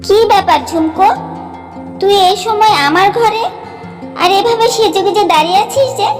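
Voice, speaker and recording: A woman's voice: extremely high pitch, normal speed. A woman voicing a proud, emotional young wife of a landlord in a cartoon melodrama. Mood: suspicious, bewildered. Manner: emotional, dramatic. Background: background music.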